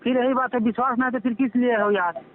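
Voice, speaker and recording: A man's voice: very high-pitched, steady tone, quick pace. A man arguing casually in a private phone call, coaxing insistently and repeating his phrases. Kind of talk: private phone argument, colloquial. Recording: narrow tinny phone line.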